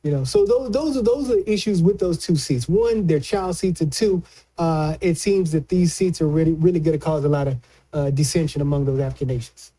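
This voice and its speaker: A man giving conversational political commentary as a news analyst, colloquial and halting, often repeating words as he explains. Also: on the high side, warm tone, poor audio.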